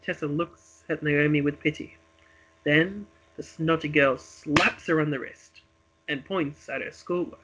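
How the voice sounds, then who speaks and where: A man's voice: on the high side, fairly even intonation, measured pace, a bit bright, muffled recording, slight background noise. A man reading a script aloud as narrator of a homemade radio play, with dramatic storytelling delivery. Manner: dramatic present-tense storytelling.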